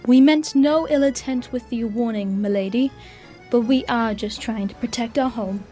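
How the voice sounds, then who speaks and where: A woman's voice: a little high, normal speed, dark tone, soft background music, close to an everyday voice. A woman playing a firm, wary town gate guard in a fantasy audio drama, formal and polite.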